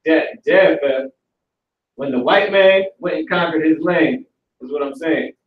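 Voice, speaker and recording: A man's voice: a little high, a little slow, distant mic, dull audio, slight background noise. Brash young man teaching in an echoey room. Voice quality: loose, profane, confrontational street talk. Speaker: class teacher. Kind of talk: live class lecture. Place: classroom with stage and banners, indoors.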